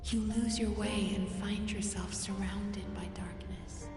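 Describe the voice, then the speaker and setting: A woman as a slow, gentle dream therapist. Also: medium pitch, level tone, close to an everyday voice. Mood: hushed, guiding. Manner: soothing, measured, careful. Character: gentle, attentive female dream therapist guiding a session. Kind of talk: anime-style video game voice acting. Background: game music.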